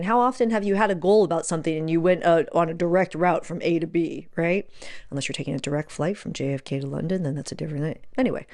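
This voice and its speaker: A woman's voice: lowish voice, a little mellow. A woman podcast host talking casually on her own, giving advice conversationally, repeating words for emphasis and asking rhetorical questions.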